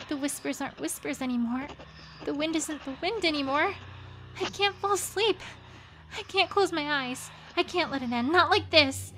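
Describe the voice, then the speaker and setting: A woman voicing a frightened, despairing young pony in an anguished, halting reading of a horror story. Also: extremely high pitch, sharp tone, a little hiss or hum, full character voice, poor audio. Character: despairing, frightened young female pony writing her last journal. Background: music.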